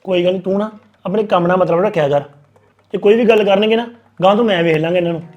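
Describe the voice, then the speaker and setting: A man's voice: sits high, rapid-fire, darkish tone, highs cut off, faint music behind, close to an everyday voice. A man playing a protective, reassuring husband. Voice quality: reassuring, firm. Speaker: husband calming his wife. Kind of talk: family drama acting.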